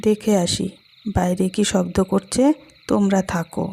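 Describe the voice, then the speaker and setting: A woman's voice: medium pitch, little pitch movement, moderate pace, creaky, mellow, husky. A woman playing an anxious, protective village mother in a cartoon, worried and questioning. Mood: uneasy. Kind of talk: animated story dialogue.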